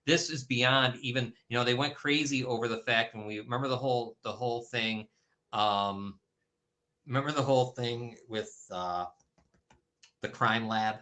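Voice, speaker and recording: A man's voice: mid-range voice, steady tone, moderate pace, pushed and bright. A man hosting a casual live-streamed talk show, talking loosely with many restarts. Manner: loose, rambling, frequent restarts. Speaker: host. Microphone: streaming call setup.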